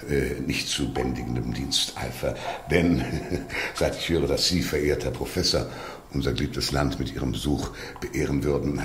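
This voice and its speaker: An actor in a radio drama playing a suave, menacing older Russian minister, pompous and over-polite. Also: male voice, a bass voice, reverberant, slight background noise.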